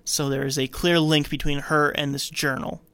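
Male podcast host, higher-than-average voice, dry sound, casual storyteller narrating a film recap. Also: flat delivery, normal speed, fairly bright tone, smooth, no echo.

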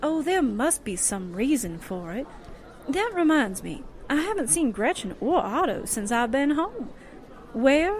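A woman's voice: sits high, big pitch swings, measured pace. A woman acting a sassy, slangy, wisecracking small-town newspaperwoman in a 1940s-style radio drama. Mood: concerned.

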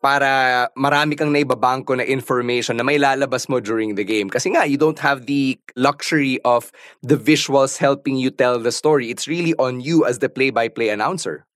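A man with a mid-pitched voice and a Filipino accent hosts a conversational interview. He poses inquisitive questions, switching between English and Tagalog, and is recorded with a very dry sound. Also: a little fast, a bit bright, dry recording. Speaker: podcast host and interviewer.

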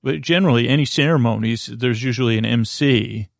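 Creaky-voiced man rambling gently for bedtime. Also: mid-range voice, no echo, studio quality. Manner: meandering, halting, goes off on tangents; gently reassuring, soothing. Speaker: podcast host. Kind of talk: rambling bedtime monologue for a sleep podcast.

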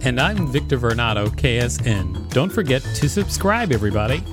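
A man's voice: medium pitch, big pitch swings, normal speed, over music. A man co-hosting a podcast, reading an encyclopedia article aloud and commenting on it. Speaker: podcast co-host.

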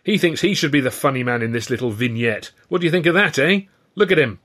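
A man's voice: mid-range voice, expressive, quick pace, fairly bright tone, pitched above the performer's own voice. A man voicing a pompous, self-satisfied and exasperated stage comedian, declaiming and crowing in an audiobook story. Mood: mocking, showy. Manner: bellowing, declamatory, crowing, petulant.

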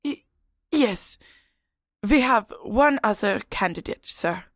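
A woman's voice: mid-range voice, animated, slow pace, dry recording, studio quality. A woman voicing a dutiful, earnest German military doctor with a German accent in a comedy. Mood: deferential, uneasy. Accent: mock German. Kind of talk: comedic character acting.

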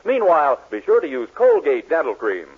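A man's voice: fairly high pitch, wildly swooping pitch, a little fast, phone-quality sound, a little hiss or hum. An American man reading scripted ad copy and sign-offs as a 1940s radio show announcer, on an old band-limited broadcast.

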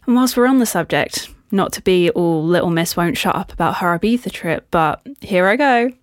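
A woman's voice: on the low side, expressive, moderate pace, no room sound, clean studio sound. A woman hosting a podcast in a casual, chatty solo monologue, with a self-deprecating, playfully dramatic way of talking. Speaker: podcast host.

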